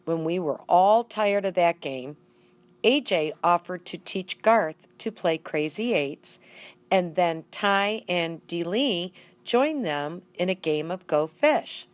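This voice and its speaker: A woman reading a children's story aloud in an expressive, deliberate narrating style. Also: lowish voice, no room sound. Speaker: audiobook reader.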